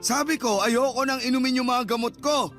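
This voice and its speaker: A Filipino man playing a stubborn, irritable, ailing middle-aged father in a radio drama, gruff and confrontational. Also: extremely high pitch, moderate pace, fairly bright tone. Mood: irritated. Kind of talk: Tagalog radio drama acting. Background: background music.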